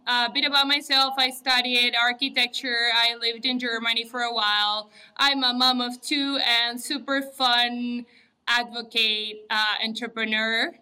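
Woman, Uruguayan Spanish accent, very bright tone, smooth clear voice, casual guest talk. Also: on the high side, steady tone, unhurried, low-quality recording. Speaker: podcast guest, entrepreneur.

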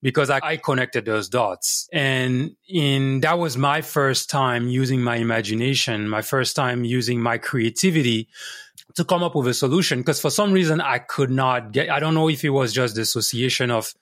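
A man from Cameroon, a guest on a podcast, telling a personal story in an unhurried, thoughtful way. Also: medium pitch, a bit bright, no echo, studio quality. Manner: unhurried, thoughtful, frequent restarts. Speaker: podcast guest, life coach. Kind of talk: casual interview storytelling.

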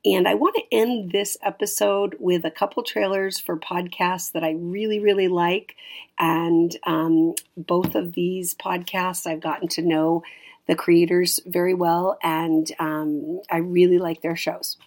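A woman's voice: on the low side, steady tone, unhurried, a little mellow. A woman hosting a podcast, giving an informal, hesitant and appreciative announcement to listeners. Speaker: podcast creator and host.

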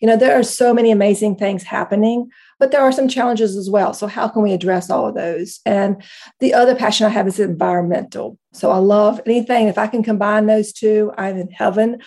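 A woman with an Appalachian accent, a guest on a podcast, telling her story at length with warmth and enthusiasm. Her voice sits at a middle pitch in a plain conversational delivery. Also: fairly even intonation, fairly quick. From rural Appalachia, West Virginia. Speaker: guest, co-founder. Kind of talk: conversational interview answer.

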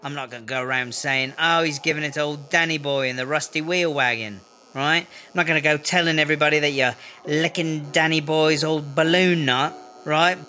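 A mouthy British female inmate for a comedy audio drama. Her voice is bright and forceful, and she rambles in slang with defensive, foul-mouthed bluster, like a would-be gangster. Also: deep voice, normal speed, a little hiss or hum. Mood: indignant.

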